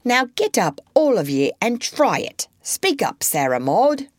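A woman's voice: big pitch swings, measured pace, dry recording, studio quality. Woman voicing a bossy proud country mother. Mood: instructing. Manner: scolding, high-pitched and emphatic. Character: bossy proud poor mother, rustic speech.

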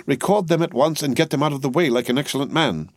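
Male reader as distraught gentlewoman: higher pitch, earnest pleading, dry sound. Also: fast talker, no echo, natural voice. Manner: wild, breathless distress. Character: agitated, tearful English lady companion.

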